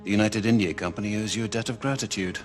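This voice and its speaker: A man plays a senior knight in a cinematic game. His voice sits fairly low, and he speaks with formal, measured dignity, like a steadying counsellor. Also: music in the background, natural voice. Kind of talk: dramatic video game acting.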